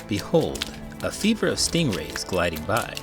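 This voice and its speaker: A man reading a children's picture book aloud in an expressive, dramatic way, as a host would for young listeners. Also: low-pitched, a little slow, loud music behind the voice. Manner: expressive, dramatic delivery with big emotions. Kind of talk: animated read-aloud narration for children.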